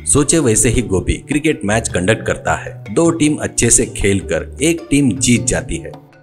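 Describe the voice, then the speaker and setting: A man's voice: fairly low pitch, big pitch swings, unhurried. A man reading a scripted story as a storyteller. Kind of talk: scripted story narration with acted dialogue. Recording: music behind the voice. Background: music.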